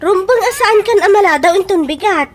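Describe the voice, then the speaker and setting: Woman acting a very high-pitched, nagging female workmate. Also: animated, fairly quick, a little hiss or hum. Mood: chiding concern. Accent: Ilocano Filipino. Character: chatty, nagging female workmate giving advice. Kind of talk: Ilocano comedy radio drama acting. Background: soft background music.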